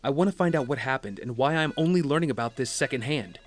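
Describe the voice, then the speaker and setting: A man's voice: mid-range voice, expressive, quick pace, soft background music, lightly acted. An actor playing a serious, determined man protective of his sister, in a naturalistic audio drama style. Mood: urgent, focused. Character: guilt-ridden, determined brother tracking his sister, protective and earnest.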